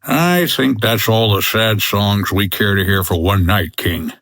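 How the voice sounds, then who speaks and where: An actor playing a gruff, no-nonsense hotel owner turned sleuth in a comedy noir audio drama, terse and exasperated. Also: male voice, on the low side, measured pace, a bit bright, no echo, clean studio sound. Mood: wry.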